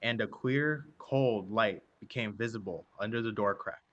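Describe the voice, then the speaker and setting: A man narrating a scripted radio drama with suspenseful, deliberate phrasing. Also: on the low side, dull audio.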